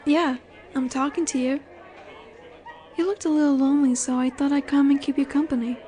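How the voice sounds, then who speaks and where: A woman's voice: high voice, steady tone, mellow, lightly acted. A woman playing a confident, flirtatious werewolf alpha in a roleplay audio drama, teasing and seductive in her delivery. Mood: playful, flirty. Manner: teasing, seductive, slow and assured. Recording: bar chatter behind the voice. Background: bar ambience with crowd murmur.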